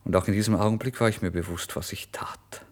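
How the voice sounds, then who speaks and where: Man acting as a half-mad starving proud guy, low voice, intense theatrical radio drama monologue, goes from hushed to crying out. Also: steady tone, quick pace, natural voice. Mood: ecstatic relief. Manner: intense, theatrical, swinging between hushed and outcry. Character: starving, feverish, proud male narrator on the edge of madness. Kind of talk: dramatic first-person radio play monologue.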